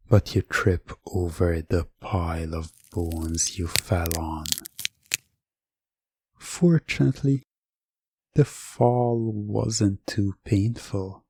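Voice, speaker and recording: A man narrating a scripted second-person story for hypnosis ASMR, measured and deliberate, with dramatic pauses. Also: low-pitched, mellow, breathy edge, no echo.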